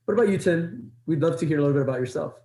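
A young man in his twenties co-hosts a podcast, speaking in an earnest, measured way. His voice is warm and dark in tone, and it is recorded in a noticeably echoey room. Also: on the high side. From Ecuador, raised in Los Angeles. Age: 20s. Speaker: podcast co-host. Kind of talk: scripted intro then casual chat.

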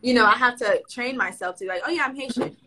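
A woman's voice: fairly high pitch, pushed and bright, rough recording. A woman interviewer, first-generation American of Haitian parents, chatting and affirming her guest in a casual conversation. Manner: chatty, affirming, quick to agree.

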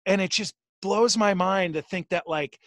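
A man hosting a show, talking casually and telling a story in a chatty, animated way. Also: high voice, moderate pace, dry recording, clean studio sound. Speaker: show host. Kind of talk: casual video call interview.

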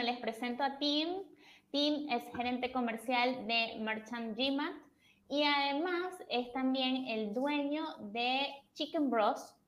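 A woman's voice: sits high, poor audio. A woman hosting a podcast, reading a formal introduction and welcoming her guest. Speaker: podcast host. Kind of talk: scripted intro then interview hosting.

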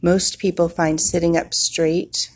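A woman leading a guided meditation in a soothing manner, with long pauses and a husky, breathy voice. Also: fairly low pitch, little pitch movement, a little mellow.

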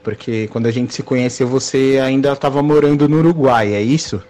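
A man with a mid-pitched voice hosts a casual conversation. He comes across as friendly and self-deprecating, and he wanders into rambling side remarks before getting back to his question. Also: a little fast, dark tone. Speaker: host, interviewer.

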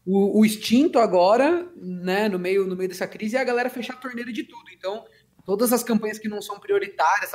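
A man from the São countryside, chatting as a podcast guest in a relaxed way, often restarting his words. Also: high-pitched. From Socorro, countryside of São Paulo state, Brazil. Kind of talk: casual podcast chat.

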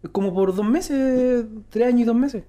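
A man's voice: extremely high pitch, moderate pace, mellow. Young Chilean man bantering casually. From Renaico, southern Chile. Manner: slangy, joking, swears freely. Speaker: co-host. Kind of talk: casual banter between friends.

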